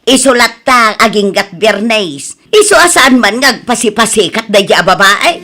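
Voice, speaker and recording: A woman's voice: on the high side, lively intonation, unhurried, bright, forceful tone, soft background music, heavily acted. A woman with an Ilocano accent playing a snide, envious, stubborn village housewife in a comedy radio drama, scoffing and insistent.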